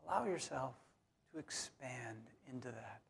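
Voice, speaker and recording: A man guides a meditation in a gravelly, creaky voice at a mid-range pitch. He speaks very slowly and soothingly, setting short phrases apart with long, unhurried pauses. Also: animated. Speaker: meditation leader.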